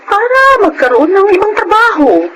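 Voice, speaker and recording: A woman playing a caring, worried working mother in an expressive, earnest Filipino radio drama style, on a noisy recording. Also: extremely high pitch, moderate pace.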